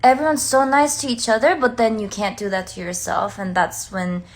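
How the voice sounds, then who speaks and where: A woman's voice: a little high, steady tone, a little fast. A woman chatting casually on a livestream, heartfelt and talkative, filling her speech with "like.". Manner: chatty, heartfelt, many "like" fillers. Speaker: singer and dancer on a livestream.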